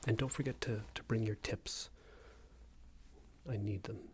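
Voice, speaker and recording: A man doing an ASMR roleplay as a passive-aggressive company boss, speaking in a soft, breathy whisper with hesitant, patronising phrasing. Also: fairly low pitch, fairly even intonation, unhurried, slight background noise. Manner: hesitant, repetitive, patronising workplace talk. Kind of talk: ASMR roleplay, improvised monologue.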